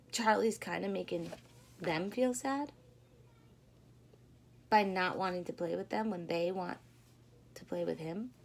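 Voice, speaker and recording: A woman doing an expressive read-aloud for young children, pausing to put questions to them in a teacherly way. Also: a little high, slight background noise. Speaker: storyteller reading a picture book to children. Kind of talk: animated read-aloud with asides to young listeners.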